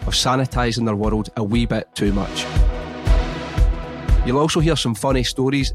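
A Scottish man with a voice of ordinary, middling pitch hosts a podcast in an easy conversational tone. He jokes freely and pokes fun at himself as he talks. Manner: chatty, jokey, self-deprecating. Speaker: podcast host. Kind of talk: casual podcast chat.

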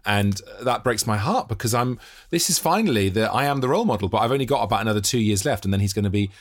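A man's voice: fairly low pitch, expressive. A man hosting a casual podcast, bantering with jokes and puns. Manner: jokey, punning, quick banter. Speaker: podcast host.

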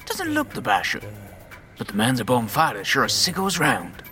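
A man's voice: mid-range voice, huge pitch swings, moderate pace, over music. A man playing a wary, streetwise rat catcher in a fantasy audio drama, slangy, cagey and brusque. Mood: gruff, conspiratorial.

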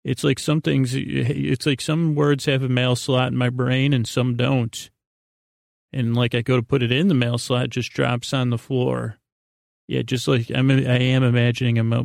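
A man hosting a sleep podcast with a rambling, improvised monologue, halting and circling back on himself in an unhurried, lulling way. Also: medium pitch, vocal fry, dry recording, clean studio sound. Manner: halting, meandering, self-interrupting, with trailing repetitions. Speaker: podcast host.

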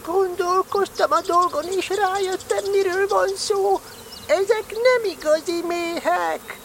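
A woman's voice: extremely high pitch, slight background noise. A woman playing a small, earnest, scheming toy bear in an audio drama, with naive, solemn pronouncements. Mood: earnest, decided. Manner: solemn, self-important pronouncements. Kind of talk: audio drama storybook acting.

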